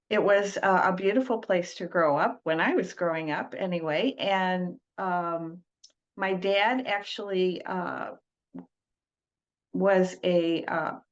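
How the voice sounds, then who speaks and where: An older woman from Detroit, a guest in an interview, talking in a slow, halting way with many fillers and restarts. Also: on the low side. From Detroit, United States. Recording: dull lo-fi audio.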